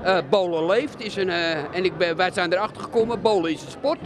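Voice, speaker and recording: A man's voice: high voice, a little fast, background noise. A male reporter asking questions in a chatty, encouraging way inside a bowling centre. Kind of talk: casual interview questions.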